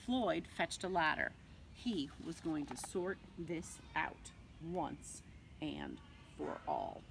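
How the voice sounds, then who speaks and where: A woman reading a picture book aloud to young children like a nursery teacher, expressively, with dramatic pauses and drawn-out words. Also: deep voice, wildly swooping pitch, vocal fry, a little hiss or hum.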